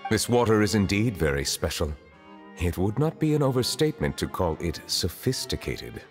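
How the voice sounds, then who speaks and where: An actor playing a reserved, dignified dragon in human form who serves as a chief justice in a video game, speaking formally and pensively. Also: male voice, on the low side, very animated, normal speed. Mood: composed, formal. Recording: long reverb, faint music behind.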